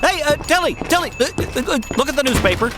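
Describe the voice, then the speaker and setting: A woman's voice: sits high, lively intonation, fast talker, noisy background. Woman acting a stammering, earnest small-town young man. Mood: proud, excited. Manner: stammering, folksy. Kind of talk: old-time radio drama acting.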